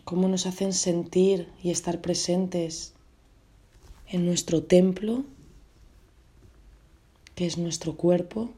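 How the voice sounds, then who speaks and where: A woman with a lowish, very mellow and relaxed voice leads a guided meditation. She gives her instructions gently, in short phrases, leaving space between them. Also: slow pace, long pauses.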